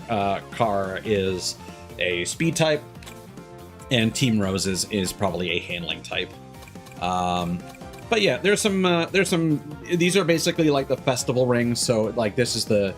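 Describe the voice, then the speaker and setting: A man chatting casually as he commentates a video game stream, rambling and often stopping to restart his sentences. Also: lowish voice. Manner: rambling, self-interrupting, frequent stops and restarts. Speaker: live streamer.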